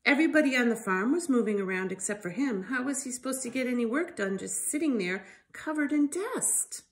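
A middle-aged woman reading a children's storybook aloud in an expressive, emphatic storytelling style. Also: a little high, fairly quick. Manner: animated, emphatic delivery with sound effects. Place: living room by a fireplace, indoors.

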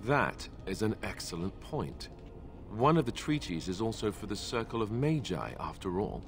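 A principled, skeptical warrior companion from a fantasy game. His voice is on the low side, earnest and matter-of-fact, with a faint bed of ambient noise. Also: big pitch swings, moderate pace. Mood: reasoning.

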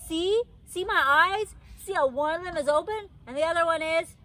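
A woman's voice: very high-pitched, moderate pace, bright, forceful tone, voice pushed up. A woman voicing a stubborn, chatty little kindergarten girl in a storybook, blunt and childlike in manner. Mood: explaining eagerly. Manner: blunt, indignant, childish grammar. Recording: outdoor background noise.

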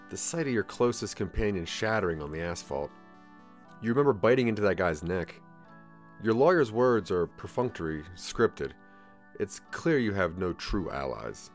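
A man reads second-person dramatic narration in a fairly low voice. His intonation is animated and theatrical, with an ominous storytelling feel. Soft music sits behind him. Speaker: narrator of an interactive audio drama.

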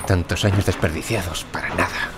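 An actor playing an obsessed, weary archaeologist in an audio drama, brooding and dramatic. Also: male voice, deep voice, fairly even intonation, brisk, background noise, close to an everyday voice. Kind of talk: Spanish audio drama acting and narration.

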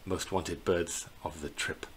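A middle-aged man giving an unscripted slideshow narration as a tour guide, in a hesitant, halting way, recorded indoors on a computer microphone. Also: low-pitched, steady tone. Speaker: bird tour guide presenting a virtual tour. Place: indoors in a small plain room. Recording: clear background noise.

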